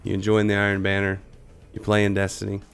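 Gravelly man with a worn-out cold voice. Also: lowish voice, completely flat delivery, a little fast. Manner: joking, talks to viewers while playing. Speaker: gaming streamer. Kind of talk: casual gameplay commentary. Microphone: headset or desk mic, no camera.

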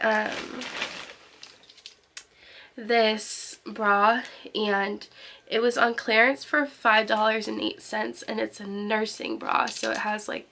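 Young woman, vlogger, nasal from a cold, bright voice, slightly high, rambling casual chat, close camera mic. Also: vocal fry, slight background noise. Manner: chatty, rambling with ums and likes. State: sick, nasal. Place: parked car, then walk-in closet. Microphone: handheld camera close up.

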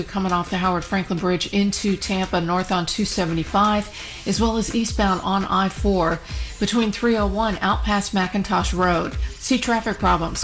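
An American woman giving a radio traffic report as the traffic reporter. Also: medium pitch. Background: music bed.

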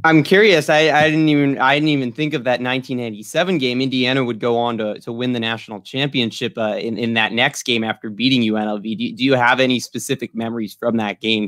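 A man's voice: mid-range voice, steady tone, fast, hardly any pauses, fairly bright tone. A young American man in his early twenties hosting a sports interview, asking casual questions over a video call. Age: early 20s. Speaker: student sports show host, interviewer. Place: small room at home, indoors. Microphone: computer microphone on a video call.

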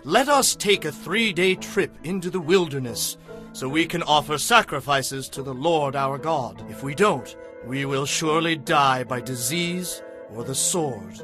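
A man's voice: fairly high pitch, unhurried, pushed and bright, faint music behind, voice pushed up. A man voicing earnest male messengers and prophets who press their demands. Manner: earnest, insistent.

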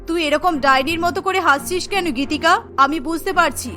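A woman's voice: very high voice, normal speed, sharp tone, faint music behind. A woman voicing a stern but caring mother of two daughters in a Bengali cartoon story, earnest and advising. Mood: scolding.

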